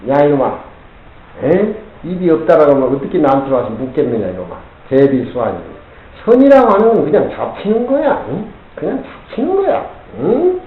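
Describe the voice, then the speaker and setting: An older man teaching a Buddhist scripture to a group of students as a Zen teacher, talking gruffly and constantly prodding them with "eh?". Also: fairly high pitch, very animated, a little fast. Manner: gruff, keeps prodding listeners with "eh?". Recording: very echoey, dull lo-fi tape with faint hiss.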